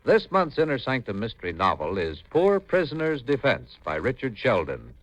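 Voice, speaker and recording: Animated vintage radio announcer, male. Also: medium pitch, normal speed, no room sound, rough recording. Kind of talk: 1950s scripted radio announcing.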